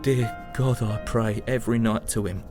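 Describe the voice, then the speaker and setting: An actor playing a weary young British soldier of the First World War in a sombre, reflective audio drama monologue. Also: male voice, lowish voice, steady tone, moderate pace, natural voice. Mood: earnest, pleading. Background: prominent music.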